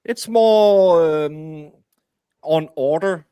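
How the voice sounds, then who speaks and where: A man with a Danish accent, the guest baker, who talks in a deadpan, hesitant way. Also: fairly high pitch, extremely slow, clear, resonant tone, no room sound, clean studio sound.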